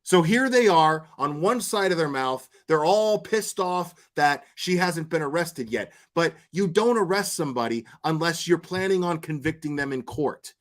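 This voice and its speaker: A man's voice: a little high, lively intonation, normal speed, hardly any pauses. A man giving unscripted, opinionated livestream commentary as a host, blunt, argumentative and coarse-mouthed, repeating phrases for emphasis.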